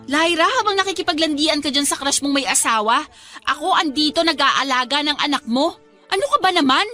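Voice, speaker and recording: A woman's voice: sits high, animated, brisk, sharp tone, faint music behind. An actress playing a responsible, exasperated young woman in a radio drama, reproachful and emphatic. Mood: indignant, reproachful.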